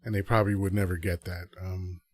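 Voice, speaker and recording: A man's voice: low-pitched, little pitch movement, a little fast, faint music behind. A man from the Dominican Republic hosting a live podcast, talking through his thoughts in a rambling, earnest, self-correcting way. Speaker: podcast host. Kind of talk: casual solo commentary to a live audience.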